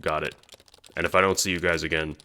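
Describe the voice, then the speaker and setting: Man playing deep-voiced sardonic young gamer. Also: quick pace, over loud music, close to an everyday voice. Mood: terse, resigned. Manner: slangy, profane, deadpan. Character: cool-guy young gamer, sweary, sardonic, easily rattled.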